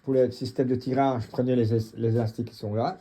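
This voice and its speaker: A middle-aged man from the Mons region with a Belgian French accent and a husky voice, giving a casual unscripted tour in a halting, blunt, colloquial way. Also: mid-range voice, level tone, mellow. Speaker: home gym owner and vlogger.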